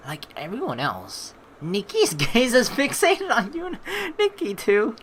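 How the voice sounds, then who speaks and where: A woman's voice: a little high, huge pitch swings, unhurried, slight background noise. A young woman doing playful, slangy let's play commentary and reading game narration aloud.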